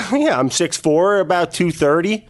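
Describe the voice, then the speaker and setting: A man's voice: high-pitched, animated, unhurried. Laid-back New man, chatty guest. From northern New Jersey. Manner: laid-back, rambling, frequent fillers and laughs. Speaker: cooking video creator, guest. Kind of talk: casual interview chat.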